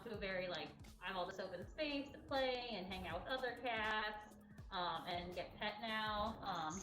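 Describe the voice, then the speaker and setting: A woman chatting casually on a livestream with hesitant, halting phrasing. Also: medium pitch, background noise. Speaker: cat cafe staff member. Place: indoor cat cafe room. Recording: echoey room, music behind. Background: music.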